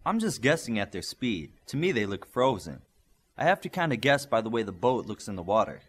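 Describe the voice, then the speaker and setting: A man voicing a friendly, quick-witted young male superhero speedster in a storybook, talking casually. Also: lowish voice, very animated, husky. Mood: casual, practical.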